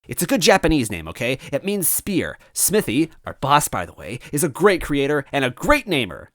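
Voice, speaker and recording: A man acting out a smug storybook villain in toad disguise, pitched up high and loud. He is boastful and theatrical, relishing each threat with gloating menace. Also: wildly swooping pitch, moderate pace, dry recording, studio quality. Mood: indignant. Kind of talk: acted storybook villain dialogue.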